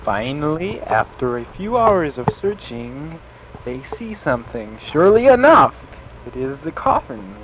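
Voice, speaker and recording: A man's voice: fairly high pitch, very animated, a little slow, vocal fry, background noise. A man earnestly narrating a homemade horror audio play in a dramatic, amateur reading style. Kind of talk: dramatic scripted horror story narration, speaking character lines himself.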